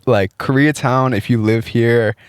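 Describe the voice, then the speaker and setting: A man's voice: mid-range voice, measured pace, smooth, dry recording. A young man hosting a casual chat, teasing his guest with prodding questions. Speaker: show host.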